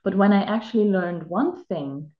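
A woman's voice: mid-range voice, expressive, moderate pace. Native German woman in her 30s, chatty interview guest, roomy dull call audio. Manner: reflective, chatty, many filler "like"s. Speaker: actress and life coach. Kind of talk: casual video-call interview. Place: home room with bare wall. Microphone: video call.

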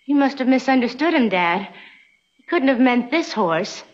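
An actress playing a proud, skeptical young American frontier woman in a TV western, crisp and formal. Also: high-pitched, big pitch swings, moderate pace. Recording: dull old soundtrack with music.

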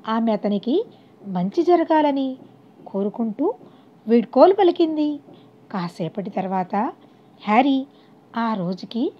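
A woman narrating a scripted moral story as an audiobook narrator, on a dull, lo-fi recording. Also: sits high.

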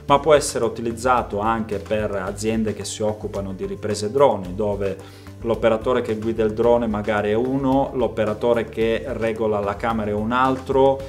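A man's voice: on the low side, steady tone, normal speed. A man, explaining a product to camera as a video maker giving a review. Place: small home studio room, indoors. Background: background music.

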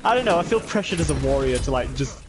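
A man's voice: mid-range voice, animated, fast talker. Panicky male teammate, cursing under pressure, noisy chat line. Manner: flustered, panicky, swears when stressed. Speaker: teammate in a team game. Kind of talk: casual gaming chat.

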